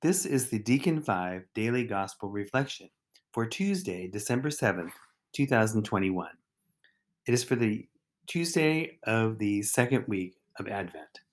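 A man reading a scripted daily devotional as a deacon and host, phrasing it deliberately in short, measured units. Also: lowish voice, animated. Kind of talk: scripted devotional reflection, read aloud.

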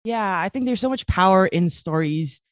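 A woman's voice: mid-range voice, lively intonation, moderate pace, no room sound, clean studio sound. A woman in her late twenties who grew up in Kuwait, speaking as a podcast guest in an earnest, casual way with many fillers. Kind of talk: casual interview talk.

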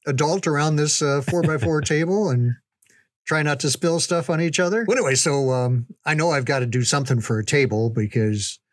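An older man reminiscing in a casual, folksy way, unhurried, with pauses for emphasis. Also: on the high side, no echo, clean studio sound. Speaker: podcast storyteller, reminiscing father. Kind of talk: casual conversational storytelling.

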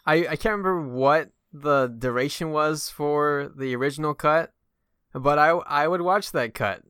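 A man's voice: fairly high pitch, normal speed, a bit bright, clear, resonant tone, no room sound, clean studio sound. A man hosting a casual film discussion, rambling and often stammering as he works through his points. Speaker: film podcast host.

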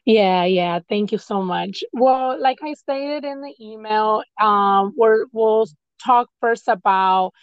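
A woman's voice: mid-range voice, slow pace, few pauses, clean tone, dry recording, lo-fi sound. American woman podcast host, dry close sound. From United States. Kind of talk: casual interview chat.

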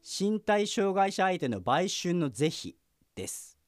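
An actor playing an earnest, easily exasperated junior police officer who speaks in polite, formal explanations in a radio drama. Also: male voice, sits high, very animated, slow pace, no room sound, clean studio sound, heavily acted. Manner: polite formal explaining with sudden blunt outbursts. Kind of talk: Japanese radio drama dialogue.

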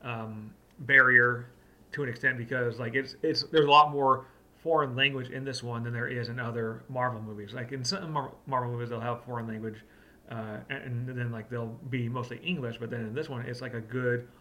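Very bright-voiced man rambling casually. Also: mid-range voice, steady tone, brisk. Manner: rambling, heavy on like and uh. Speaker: co-host. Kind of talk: casual chat.

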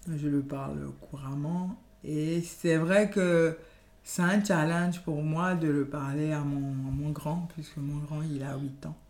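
A woman's voice: sits low, fairly even intonation, unhurried, a little mellow. A woman from Benin whose first language is Yoruba, answering interview questions in a thoughtful, hesitant way with many restarts. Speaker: podcast guest. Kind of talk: conversational interview. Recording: echoey room.

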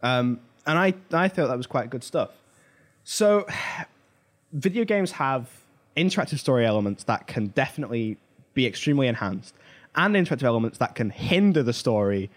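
A man hosting a podcast, talking casually and informally, telling stories with emphatic asides. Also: mid-range voice. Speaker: podcast host. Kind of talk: casual pop culture discussion.

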